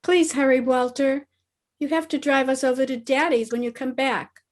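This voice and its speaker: Lady doing a ditzy earnest teen girl, high voice, easily excited, old radio comedy. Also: normal speed, natural voice. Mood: excited, anxious. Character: earnest, scatterbrained teenage schoolgirl, principal's daughter. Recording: remote video-call recording.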